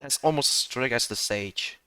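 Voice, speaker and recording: A man giving casual, off-the-cuff gaming commentary in a halting, blunt, sweary manner. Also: mid-range voice, bright, forceful tone, clean tone, dry recording. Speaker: gameplay commentator.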